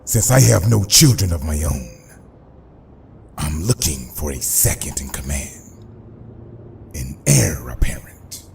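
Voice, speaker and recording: Casting: suave comic-book mob boss, extremely low and gravelly, grandiloquent, unhurried menace. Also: male voice, sing-song, background noise, exaggerated voice. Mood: amused, scheming. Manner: pompous, deliberate, grandiloquent. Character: suave, menacing middle-aged male crime boss, theatrically eloquent. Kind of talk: motion comic voice acting.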